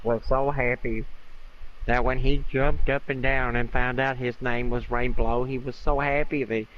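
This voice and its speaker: A man telling an improvised comic bedtime story in a casual, halting way, often repeating and rephrasing himself. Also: medium pitch, flat delivery. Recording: dull lo-fi audio with faint noise.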